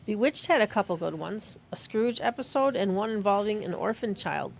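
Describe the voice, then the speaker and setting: A woman's voice: medium pitch, lively intonation, moderate pace, vocal fry, rough recording. A woman reading in a conversational style as a performer in an audio play. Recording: faint background noise.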